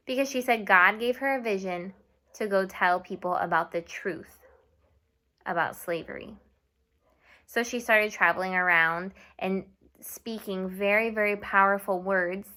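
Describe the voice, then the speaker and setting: A young woman teacher giving a video lesson to children, speaking slowly and clearly and switching between English and Spanish. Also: medium pitch, pushed and bright. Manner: slow and clear, mixing English and Spanish, asks students to repeat. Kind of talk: classroom video lesson for kids.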